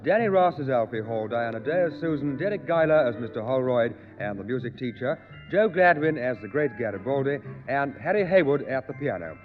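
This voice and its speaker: A man's voice: mid-range voice, expressive. Male announcer, formal credits read, tinny, music behind. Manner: measured, formal. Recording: tinny old broadcast over music.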